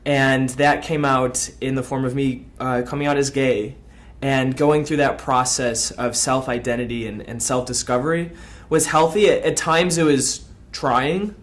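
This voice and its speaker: A man, reflecting in a measured, articulate way during a documentary interview. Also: medium pitch, fairly even intonation. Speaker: college alumnus being interviewed.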